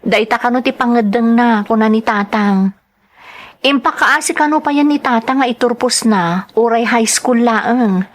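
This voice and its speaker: A woman's voice: a little high, normal speed, natural voice. A woman with a Filipino accent playing a troubled mother working abroad in an online audio drama. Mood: worried.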